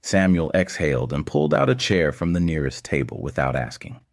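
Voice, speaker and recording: A man's voice: rumbling low, sing-song, brisk, vocal fry. A man narrating a scripted audio story as its storyteller.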